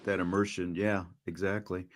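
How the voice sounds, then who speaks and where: A man's voice: deep voice, level tone, moderate pace, a little hiss or hum. A man interviewing a young athlete, asking long, rambling questions and often restarting his sentences. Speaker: interviewer, radio play-by-play announcer. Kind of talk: conversational interview.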